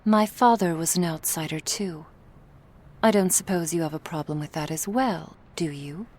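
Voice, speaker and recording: A woman playing a cool, menacing female vampire crime boss in an ASMR roleplay, speaking in a measured, authoritative way. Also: lowish voice, a little mellow, lightly acted. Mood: quietly menacing.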